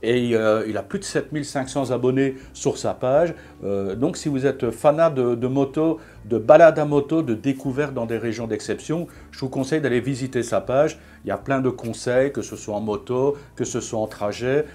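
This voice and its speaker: Vlogger in his fifties, chatty and halting, mid-pitched voice. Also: dark tone. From Belgium. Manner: chatty, hesitant with frequent fillers and restarts. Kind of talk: casual vlog monologue.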